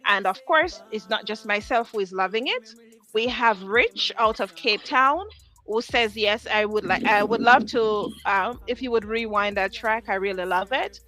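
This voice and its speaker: A woman's voice: mid-range voice, normal speed, sharp tone. A woman hosting a music interview show, talking to a live audience in an effusive, encouraging way and repeating phrases for emphasis. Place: music studio.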